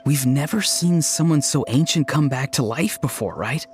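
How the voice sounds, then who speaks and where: A man's voice: fairly high pitch, normal speed, soft background music, natural voice. An actor playing an earnest, easygoing young tribesman in a video game, explaining things plainly. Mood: earnest, eager. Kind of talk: video game character acting.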